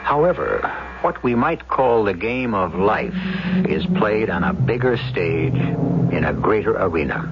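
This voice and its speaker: A man hosting a 1970s radio mystery drama with measured, wry, theatrical scripted narration. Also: lowish voice, lively intonation. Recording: dull vintage radio, music behind.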